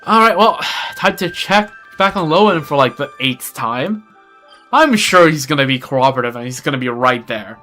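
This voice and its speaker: A man's voice: on the high side, expressive, normal speed, pushed and bright, soft background music. A young man chatting casually while playing a game on a livestream, rambling with lots of restarts and self-deprecating asides. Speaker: streamer. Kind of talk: casual gameplay livestream chat.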